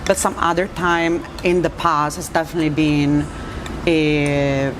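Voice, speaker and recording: An Italian-accented woman speaks in a low, relaxed voice, pausing with fillers and restarting her sentences. She is a bartender being interviewed. Clear background noise sits under her. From Italy. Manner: hesitant, many fillers and restarts. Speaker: bartender guest. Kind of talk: casual interview chat.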